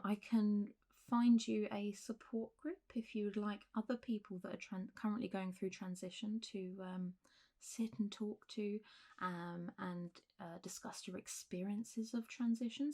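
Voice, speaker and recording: A woman playing a calm zombie doctor in a soft-spoken ASMR roleplay, gentle, reassuring and a little hesitant, sometimes slipping towards a whisper. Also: medium pitch, lightly acted.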